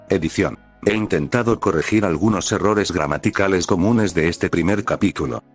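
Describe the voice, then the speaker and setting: A man reading out scripted fan fiction as an audiobook-style narrator. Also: sits low. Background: background music.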